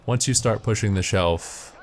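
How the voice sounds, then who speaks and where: A man's voice: on the low side, a little slow, slight background noise. A man giving matter-of-fact, step-by-step instructional commentary as he narrates a video game walkthrough.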